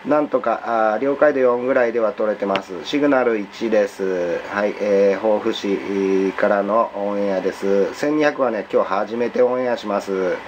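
A man's voice: lowish voice, moderate pace, few pauses. A man working as an amateur radio operator, speaking politely and procedurally as he exchanges call signs and reports. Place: indoors at a home radio desk. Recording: dull lo-fi room recording with faint noise.

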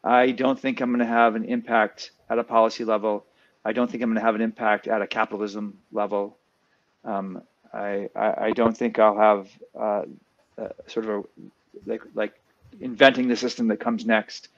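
A man on a panel video call, reflective and halting, with many fillers. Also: on the low side, flat delivery, no room sound. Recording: dry, dull video call audio.

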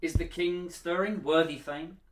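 A man's voice: a little high, fairly even intonation, a little slow, close to an everyday voice. A man playing an urgent, loyal nobleman in a classical Shakespearean radio drama, recorded in an echoing room. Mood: brisk, courteous. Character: urgent, loyal Scottish nobleman, horrified by murder.

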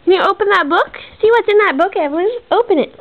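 Woman, very animated and encouraging baby talk, tinny audio with some background noise. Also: very high voice, fast talker. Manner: encouraging, sing-song coaxing. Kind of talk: casual baby-directed chat. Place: carpeted room at home. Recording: noisy, thin phone-like home-video audio.

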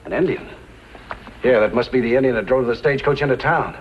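An old, muffled radio drama recording with slight hiss. A man's voice performing as a scheming, impatient Western outlaw fires out gruff, hurried lines. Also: medium pitch, natural voice. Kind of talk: 1950s radio western acting. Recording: dull, noisy 1950s radio recording.

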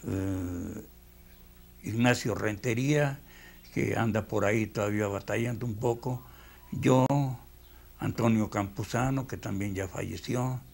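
An older man with a Mexican accent, reminiscing unhurriedly in a documentary interview, pausing as he tells his stories. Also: on the low side, gravelly, darkish tone. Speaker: veteran animator.

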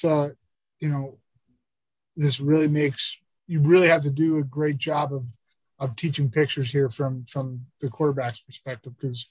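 A man joins the call to give a quarterback's view of the defense. He talks casually, often stopping and restarting his sentences. His voice is mid-pitched, and the sound is dry and close. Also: breathy edge, dry recording, low-quality recording. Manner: halting, many restarts. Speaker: co-presenter, quarterback perspective. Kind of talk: casual coaching discussion.

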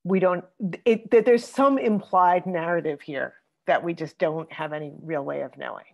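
A woman moderating an online group discussion over a video call, speaking thoughtfully with many pauses and fillers. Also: on the low side, vocal fry. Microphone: computer mic on a video call.

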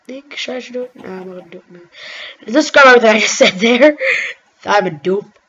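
A woman giving rambling, excitable let's-play commentary over her gameplay, talking straight to her viewers. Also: a little high, fairly quick, slightly rough. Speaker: gaming commentator. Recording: dull lo-fi mic with faint music.

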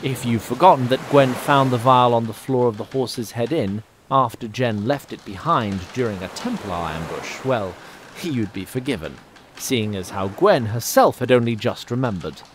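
Man, narrator, scripted fantasy storytelling, wry, formal, medium pitch, noisy ambient backdrop. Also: lively intonation, normal speed.

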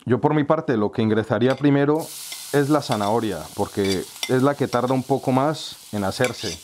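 A middle-aged man from Colombia, hosting a home cooking tutorial and calmly explaining each step with small friendly asides. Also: medium pitch, normal speed, a little hiss or hum. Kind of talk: relaxed recipe explanation. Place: home kitchen, indoors.

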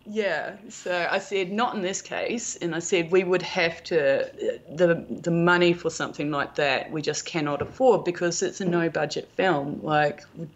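A woman's voice: fairly low pitch, steady tone, unhurried, few pauses, muffled recording. A middle-aged woman with a New Zealand accent, chatting casually and telling anecdotes as an interview guest. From rural New Zealand. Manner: chatty, anecdotal, quotes conversations. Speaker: podcast guest, film producer and prop maker.

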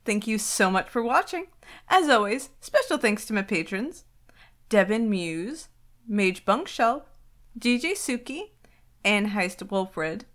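A woman's voice: medium pitch, a bit bright. A woman who makes ASMR videos, addressing her viewers in an intimate sign-off.

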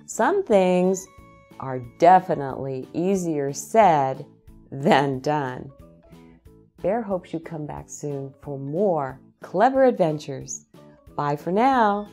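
Female storytime host, middle-aged, low-pitched and unhurried, expressive picture-book delivery for small kids, music behind. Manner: deliberate phrasing, addressing child listeners with questions. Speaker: children's storytime host reading aloud. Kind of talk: slow, animated picture-book read-aloud for young children. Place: small indoor set with bookshelves, seated in an armchair.

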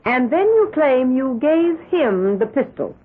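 On a muffled 1970s radio recording, a sceptical female prosecutor. Her husky voice swings widely as she presses every question with pointed insistence. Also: sits high, very animated, unhurried, exaggerated voice. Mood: sceptical, probing. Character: stern, sceptical female prosecutor cross-examining a witness. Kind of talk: 1970s radio drama acting.

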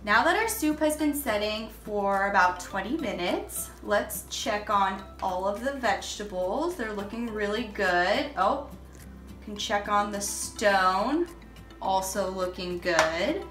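A woman's voice: medium pitch, animated, slow pace, fairly bright tone, reverberant, faint music behind. A young woman in her home kitchen, walking children through a soup recipe step by step in a friendly, instructing way. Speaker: cooking teacher. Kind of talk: kids' cooking lesson.

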